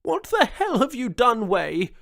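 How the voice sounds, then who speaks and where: A man's voice: medium pitch, fairly even intonation, unhurried, no echo, studio quality, natural voice. A man voicing a furious, commanding female colony governor who leads pirates. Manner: forceful, commanding.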